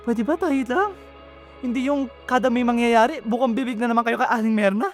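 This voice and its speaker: A man's voice: high-pitched, a little mellow, natural voice. A young man with a Filipino accent playing a weary, resentful eldest son who supports his family, earnest and emotional, in an amateur radio drama. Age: young adult.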